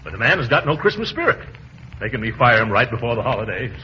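An actor playing a pompous, easily riled middle-aged uncle in a blustering, theatrical 1940s radio comedy style. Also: male voice, mid-range voice, big pitch swings, fast, close to an everyday voice. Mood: huffy. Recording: muffled, hissy old radio recording.

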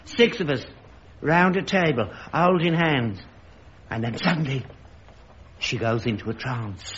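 An actor playing an earnest, credulous elderly London father in a sitcom, insistent and quick to defend himself. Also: mid-range voice, noisy background, natural voice. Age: older. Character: credulous, indignant elderly father, rag-and-bone household. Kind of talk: studio sitcom acting. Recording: dull lo-fi archive recording.

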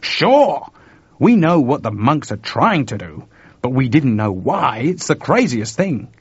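Jittery young tech guy done by a man, husky, talks high and urgent, dry dull recording. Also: unhurried, no echo, heavily acted. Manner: excited, talkative, urgent. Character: urgent, nervous young male computer technician.

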